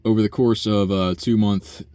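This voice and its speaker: Young guy, super deep buzzy voice, rambling and swearing a lot, lots of uh and sighs, dry close sound. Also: steady tone, normal speed, a little mellow, clean tone, no echo. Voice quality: low buzzy voice. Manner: casual and profane, many fillers and sighs. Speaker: vlogger. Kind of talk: rambling personal monologue.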